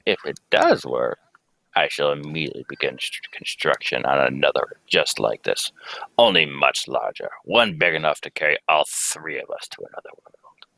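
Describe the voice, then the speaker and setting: A man's voice: extremely low pitch, animated, normal speed, vocal fry, pushed and bright, no echo, heavily acted, rough recording. A young man playing a grave, urgent alien scientist and family man in a live radio play reading, speaking in solemn, theatrical pronouncements. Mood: eager. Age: young adult. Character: grave, urgent Kryptonian scientist, husband and father. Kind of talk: live amateur reading of old radio script.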